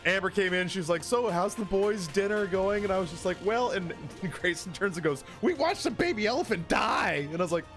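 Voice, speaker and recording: A man around his thirties tells personal stories on a livestream in a casual, comedic, animated way, with profanity and self-deprecation. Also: high voice, fairly quick, few pauses. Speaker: live streamer telling personal stories. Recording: music behind the voice. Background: background music.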